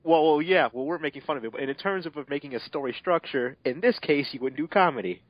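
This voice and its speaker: A man's voice: a little high, fast talker, dry recording, lo-fi sound. A man doing a mocking amateur dramatic reading, breaking off into exasperated, sarcastic commentary. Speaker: amateur fan fiction reader and commentator.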